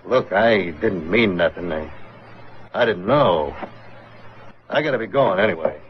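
Lowish-voiced American saloon bully. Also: male voice, lively intonation, measured pace. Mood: scared. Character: pushy saloon bully, a cowardly braggart cowboy. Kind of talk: 1950s western radio drama acting. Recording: muffled old radio recording, faint noise.

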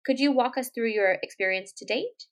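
A woman hosting a podcast interview, reading prepared questions in a measured way. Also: a little high. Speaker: podcast host.

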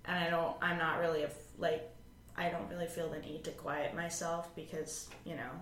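Loud-laughing young woman, low-pitched voice. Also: level tone, moderate pace. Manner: loud laugh, loud voice, casual swearing. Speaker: podcast co-host. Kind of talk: casual podcast chat. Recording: echoey room with faint noise.

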